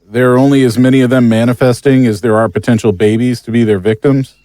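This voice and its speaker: A man plays a wry monster-hunting sidekick in an audio drama. His inquisitive voice is mellow and mid-pitched, with a dry, close sound. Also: fairly even intonation, quick pace, dry recording, close to an everyday voice, poor audio. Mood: wry, curious.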